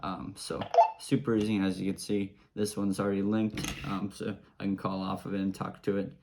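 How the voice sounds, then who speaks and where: Low-voiced man casually demonstrating a product. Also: flat delivery, normal speed, vocal fry, background noise. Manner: informal, easygoing explaining in simple steps. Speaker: product reviewer.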